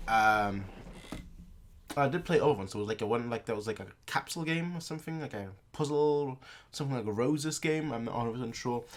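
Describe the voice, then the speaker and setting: Rambling young man chatting casually. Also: on the low side. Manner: rambling, heavy use of filler words and restarts. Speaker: reaction video host.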